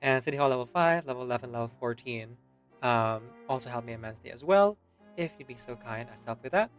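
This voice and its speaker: A man's voice: medium pitch, lively intonation, quick pace, soft background music. A man with a Filipino accent chatting casually on a stream, easygoing and chuckling, with lots of fillers.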